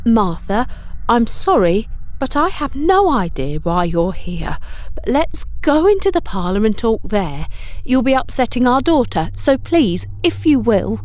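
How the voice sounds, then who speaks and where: A woman voicing an embarrassed, anxious mother who is politely but firmly trying to calm things down in an audio drama. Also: on the high side, measured pace. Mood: tense, placating. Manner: polite, placating, firm.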